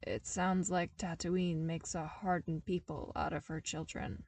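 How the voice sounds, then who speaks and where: A woman voicing a skeptical, terse young man in a story reading, questioning in a measured, dubious way. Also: lowish voice, level tone, vocal fry, a little mellow. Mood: careful. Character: skeptical, terse young man, sheltered core-worlder friend.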